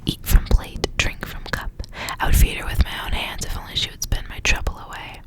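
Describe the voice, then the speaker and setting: Whispering woman, ASMR reading. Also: fairly quick. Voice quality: soft breathy whisper. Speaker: ASMR reader and host. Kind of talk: whispered ASMR story reading with casual asides.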